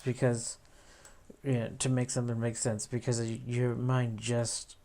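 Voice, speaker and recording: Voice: mid-range voice, mellow. A video editor giving casual screen-recording commentary, musing to themselves while working. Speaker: video editor narrating own editing session.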